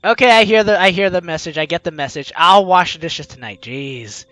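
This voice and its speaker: A video game host with an animated, teasing, exclamatory way of talking, reading aloud and commenting playfully. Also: medium pitch, fairly quick. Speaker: let's-play video host.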